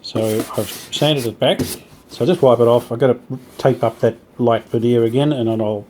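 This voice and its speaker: A man's voice: medium pitch, fairly even intonation, normal speed, darkish tone. A man casually talking through hands-on work as he does it, understated and a little tentative, thinking aloud. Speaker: host of a hobby radio restoration channel. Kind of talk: casual hands-on workshop narration while working. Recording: clear background noise, sanding and rubbing sounds. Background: rubbing and sanding while working.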